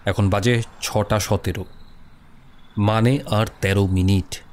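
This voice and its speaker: A man playing a brisk, punctual and devout astrologer in an audio drama, speaking in a measured, firm way. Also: fairly low pitch, steady tone, darkish tone. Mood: curt, businesslike.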